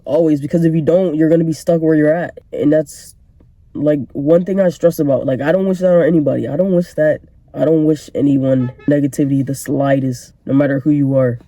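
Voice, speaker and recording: A man's voice: fairly high pitch, steady tone, brisk, dark tone, no echo. A congested young man hosting a casual solo podcast, talking earnestly and encouragingly with joking asides. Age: young adult. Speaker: podcast host.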